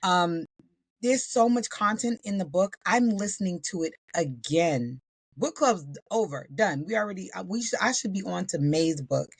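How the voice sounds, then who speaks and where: A woman co-hosting a casual talk show, her voice still a little husky from being ill, talking with enthusiasm in a folksy way. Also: fairly low pitch, animated, normal speed, no room sound. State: slightly husky, recovering voice. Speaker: podcast co-host. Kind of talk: casual chat.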